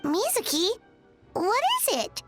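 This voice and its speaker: A woman voicing a tiny excitable childlike fairy companion in a video game, squeaky and peppy, with an American accent. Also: slow pace, over music, heavily acted. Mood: curious. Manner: peppy, exclamatory, dramatic. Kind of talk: animated video game voice acting.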